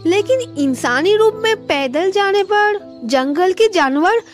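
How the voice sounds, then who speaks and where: A woman's voice: very high voice, animated, a little slow, faint music behind. A woman voicing a helpful little bird guide in a children's cartoon, explaining things. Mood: concerned, helpful.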